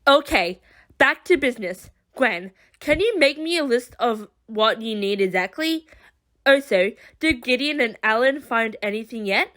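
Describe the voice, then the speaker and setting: A woman voicing an excitable, exasperated heroine in an audio drama, with exclamatory, sarcastic complaints. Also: a little high, measured pace. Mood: upbeat, brisk. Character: exasperated, excitable woman who teleports with gadgets. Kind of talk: amateur audio drama acting.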